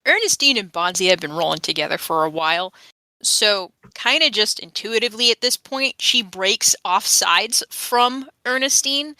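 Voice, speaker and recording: A woman speaks as a player in a tabletop game, casually describing her character's moves. Her voice is bright and mid-pitched, recorded very dry. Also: lively intonation, normal speed, no echo.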